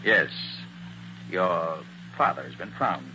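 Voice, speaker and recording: An actor playing a confident, tough private detective in a 1940s radio drama, with an assured, commanding manner. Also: male voice, low-pitched, expressive, slow pace, gravelly, exaggerated voice. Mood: calm, assured. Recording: muffled, hissy old radio recording.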